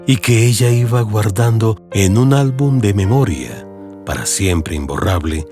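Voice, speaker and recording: A man narrating a literary audio story in a solemn, measured, dramatic way. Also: fairly low pitch, expressive. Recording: music behind the voice. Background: music.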